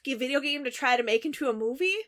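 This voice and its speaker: A woman's voice: high voice, quick pace, fairly bright tone. A woman co-hosting a podcast, chatting casually and bluntly, swearing often. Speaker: podcast co-host. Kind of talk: casual chat.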